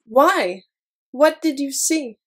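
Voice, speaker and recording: Actress as devilish woman, highish voice, blunt and impatient, bit teasing, audio drama. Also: expressive, slow, a bit bright, heavily acted.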